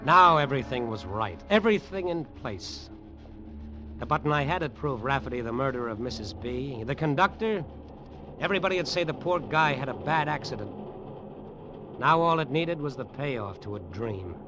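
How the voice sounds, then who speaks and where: A man's voice: mid-range voice, expressive, normal speed, music in the background, natural voice. An actor playing a cynical, menacing tough guy in an old radio drama, clipped, slangy and hard-boiled. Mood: wry, brooding. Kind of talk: old radio drama acting and noir narration. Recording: muffled, noisy old radio recording.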